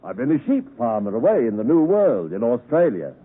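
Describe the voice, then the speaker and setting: An actor playing a rough old escaped convict in a 1950s radio drama, with rustic diction and halting, emphatic phrasing, by turns menacing and doting. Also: male voice, medium pitch, sing-song. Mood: sly, proud. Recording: muffled narrowband 1950s radio broadcast recording.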